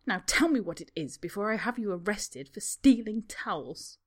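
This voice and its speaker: A woman's voice: mid-range voice, expressive, moderate pace, natural voice. A woman voicing a cold, stern spa receptionist who talks sharply and demands answers. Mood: demanding. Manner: sharp, demanding, falsely kind.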